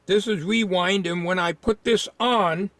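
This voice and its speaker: A man giving an informal, unscripted hands-on repair demonstration, thinking aloud in a halting way. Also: sits high, fairly even intonation, fairly bright tone, no room sound.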